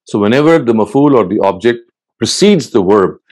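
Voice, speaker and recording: A man in his sixties giving a measured academic lecture from a studio, answering questions and explaining patiently, with frequent "uh" pauses. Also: on the low side, expressive, darkish tone. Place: studio set with bookshelves and wall lamps.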